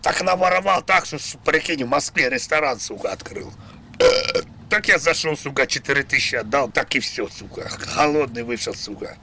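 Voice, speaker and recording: A man's voice: medium pitch, moderate pace, gravelly, sharp tone, slight background noise. A man livestreaming in a coarse, mocking and belligerent way, ranting with constant swearing. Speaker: livestreamer making prank calls.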